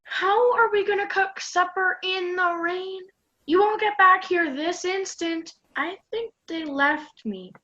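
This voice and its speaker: Young woman as whiny teenage camper girl, very high voice, slow delivery, dramatic, self-pitying, amateur radio play, dull lo-fi sound. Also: pushed and bright, heavily acted. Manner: complaining, dramatic. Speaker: student radio play performer.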